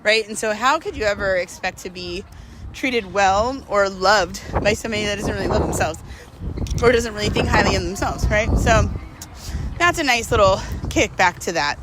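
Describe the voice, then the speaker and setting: A woman's voice: medium pitch, normal speed, noisy background. A woman telling a personal story in a casual, slangy, conversational vlog style, peppered with "like" and acting out bits of dialogue. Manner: conversational, slangy, filler-heavy "like". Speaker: storyteller vlogger.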